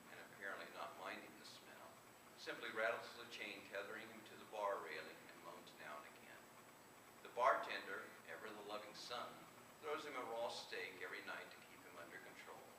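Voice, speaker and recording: Guy reading a horror story out loud live, low voice, far from the mic, noisy recording. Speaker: author reading his work aloud. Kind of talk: live reading of first-person horror fiction. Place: indoor room at a convention reading. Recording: roomy, distant live recording with clear noise.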